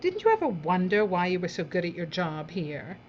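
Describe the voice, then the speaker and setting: Woman acting a theatrical, callous showbiz villainess, swooping pitch. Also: very animated, a little fast, lo-fi sound, slight background noise. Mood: coolly callous. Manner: theatrical, drawling, condescending endearments. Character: breezy, callous female showbiz boss, theatrical and condescending. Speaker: television executive in a drama. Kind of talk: audio drama acting.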